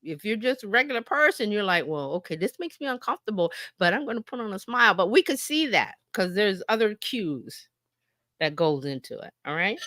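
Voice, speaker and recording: A woman teaching informally on a live stream as a marketing coach, conversational and animated, often acting out imagined remarks and checking in with listeners. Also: medium pitch, brisk, no echo, studio quality.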